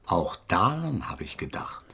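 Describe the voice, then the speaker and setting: A gravelly, self-important scientist. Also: male voice, sits low, very animated, pushed and bright, full character voice. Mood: coolly persuasive. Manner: pompous, measured, instructing. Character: self-important male scientist, coolly persuasive patient. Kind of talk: German radio drama acting.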